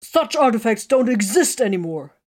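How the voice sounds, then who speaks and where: A woman voicing an agitated male tech marine who bursts out in excited protest. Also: medium pitch, a little fast, natural voice.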